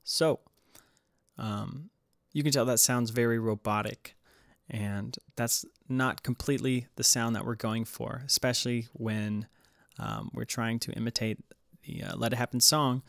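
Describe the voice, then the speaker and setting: A man's voice: medium pitch, steady tone, unhurried, gravelly, a bit bright, no echo, studio quality. A man giving a casual, hesitant tutorial voice-over as he explains music production. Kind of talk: casual screen-recorded tutorial narration.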